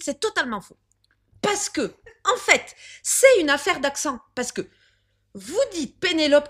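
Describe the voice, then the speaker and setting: A woman's voice: high-pitched, fairly bright tone. A woman playing a stubborn clown lady with a rolled R. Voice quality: rolled R, strongly marked accent. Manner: insistent, repeats herself, turns arguments around. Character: stubborn self-assured woman clown with odd accent. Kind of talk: comic clown sketch interview.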